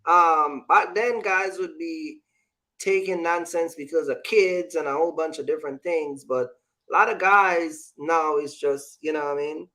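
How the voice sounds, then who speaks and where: Male talk host, fairly high pitch, informal and opinionated, circles back over phrases. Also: unhurried, sharp tone. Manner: repeats phrases, checks understanding often. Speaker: show host. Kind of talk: casual opinionated discussion.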